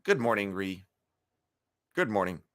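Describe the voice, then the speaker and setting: Bright-voiced man with a gravelly throat. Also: lowish voice, very animated, measured pace. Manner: clears throat often, thinks aloud with fillers. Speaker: live stream host. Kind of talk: casual morning live stream chat.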